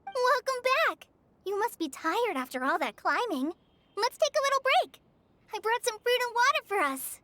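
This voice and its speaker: A woman's voice: very high voice, big pitch swings, moderate pace, pushed and bright, slight background noise, heavily acted. A woman voicing a shy, earnest teenage girl ranger in an anime-style video game, speaking politely with a hesitant, apologetic manner. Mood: welcoming.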